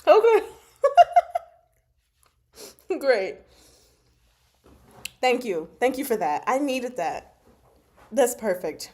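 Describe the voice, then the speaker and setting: A woman hosting a casual book discussion livestream, chatting to viewers in an expressive, exclaiming manner. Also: on the high side, drawn-out words, pauses often. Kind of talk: casual chat, discussing a novel with live viewers.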